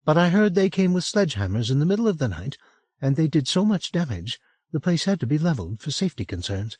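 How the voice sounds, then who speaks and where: A man's voice: on the high side, big pitch swings, fast, breathy edge, no echo, pitched above the performer's own voice. A man voicing a chatty middle-aged woman. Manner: lilting and chatty, drops to a hush. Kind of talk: audiobook character voice.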